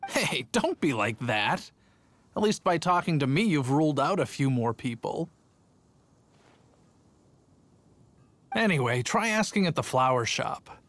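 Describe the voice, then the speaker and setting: A man's voice: on the high side, very animated, moderate pace, a bit bright, no room sound. An actor playing a boastful but timid town guard in a video game, telling his tale in a dramatic, self-important way. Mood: defensive. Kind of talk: video game cutscene acting.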